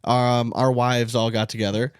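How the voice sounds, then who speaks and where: A man's voice: medium pitch, level tone, normal speed, clean tone, no echo, studio quality. An American man co-hosting a podcast, telling stories in informal, bantering chat. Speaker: podcast co-host. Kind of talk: casual chat.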